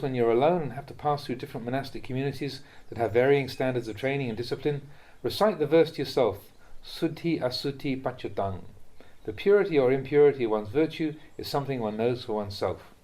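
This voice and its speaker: Man, medium-pitched voice, reading dharma teachings aloud, measured, instructive. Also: darkish tone. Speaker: reader of Buddhist teachings, monk.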